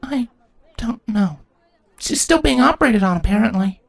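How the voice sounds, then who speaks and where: A man's voice: very high-pitched, expressive, normal speed, creaky, voice pushed up, full character voice. A man voicing an anxious, earnest young female pony princess in a fantasy storybook reading. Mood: worried.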